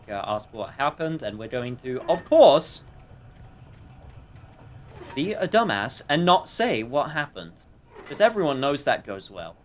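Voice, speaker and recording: A man's voice: mid-range voice, a little hiss or hum. A man doing casual let's play commentary, thinking aloud and reacting wryly.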